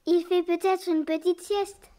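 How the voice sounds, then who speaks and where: A woman's voice: very high voice, steady tone, unhurried, warm tone. A woman voicing a curious, eager young boy in a cartoon. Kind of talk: cartoon voice acting.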